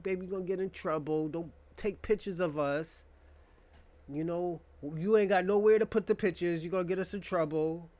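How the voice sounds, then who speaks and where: A young man with a notably high voice holds forth in a loose, rambling monologue. He jokes crudely and swears freely. The sound is dry and close, with no room echo. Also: fairly even intonation, moderate pace, a little mellow. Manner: profane, rambling, joking. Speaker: podcast host. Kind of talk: casual monologue.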